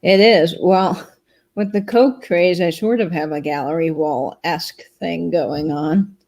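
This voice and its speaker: Woman co-host, lowish voice, easygoing and upbeat, reads chat comments. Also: a little slow, a little mellow. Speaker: co-host reading viewer comments. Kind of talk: casual livestream chat.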